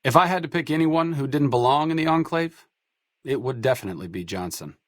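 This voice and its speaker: A man's voice: medium pitch, expressive, brisk, no room sound, natural voice. A man playing a wry, bookish idealist in a video game, with a dry, erudite and sardonic way of talking. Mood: reflective. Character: wry, bookish male idealist medic, dryly sarcastic. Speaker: companion character in a role-playing video game. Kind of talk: video game character dialogue.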